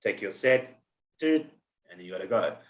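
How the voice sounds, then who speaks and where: A man's voice: on the low side, animated, moderate pace, roomy sound. A man hosting a podcast in casual chat, rambling and telling stories with many restarts. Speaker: podcast host.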